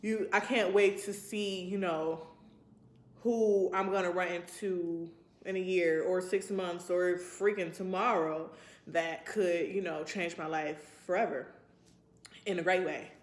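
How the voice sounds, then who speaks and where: A woman's voice: fairly low pitch, fairly even intonation, unhurried. A woman in her twenties chatting casually to her vlog audience in a rambling, reflective, informal way. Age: 20s. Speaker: vlogger. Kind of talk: casual chat to camera. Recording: roomy, echoey sound.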